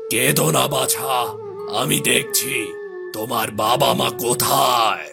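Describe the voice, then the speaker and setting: Man playing a slow, gravelly old holy man. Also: medium pitch, fairly even intonation, over music. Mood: grave concern. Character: kindly old wandering holy man in a forest. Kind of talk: children's cartoon fairy-tale dubbing.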